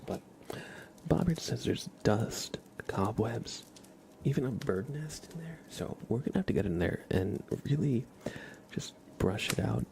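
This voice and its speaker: A man with a gravelly, fry-laden voice speaks softly as an ASMR host, often slipping into a whisper. He murmurs gently and haltingly, close to the microphone. His register sits on the low side, and clear background noise with clicking runs behind him. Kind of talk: soft-spoken, half-whispered ASMR chat and playful roleplay.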